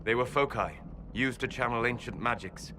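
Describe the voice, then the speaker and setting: A man playing a calm, scholarly elven mage in a video game, speaking in a grave, measured, formal way over music. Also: mid-range voice, background noise, natural voice. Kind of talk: video game cutscene acting.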